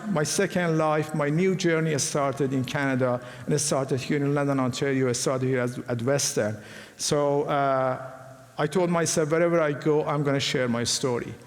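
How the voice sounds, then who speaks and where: A middle-aged man from Iran with an Iranian accent, giving a personal talk to a live audience in an earnest, halting way with frequent restarts. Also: on the high side. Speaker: guest speaker. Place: large hall. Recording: echoey hall, faint room noise.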